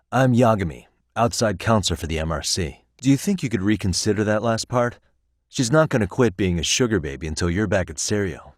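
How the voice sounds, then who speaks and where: A man's voice: sits low, no echo, clean studio sound. An actor playing a casual, wry and encouraging male detective who doubles as a school counselor, in an English video game dub, with a conversational delivery.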